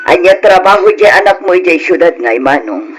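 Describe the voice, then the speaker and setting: A woman's voice: fairly low pitch, lively intonation, moderate pace, music in the background, lightly acted. Actress as a boastful, sing-song village gossip woman. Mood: mocking. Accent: Ilocano. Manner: taunting, gossipy, exaggerated sing-song swings. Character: boastful, mocking middle-aged village woman, nosy relative. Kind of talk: old Ilocano radio drama acting.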